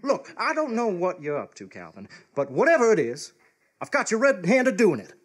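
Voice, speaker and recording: An actor playing a stern, blustering small-town lawman type with a husky, folksy delivery in a comedy radio play. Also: high-pitched, wildly swooping pitch, normal speed, full character voice. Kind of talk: live comedy radio theatre acting.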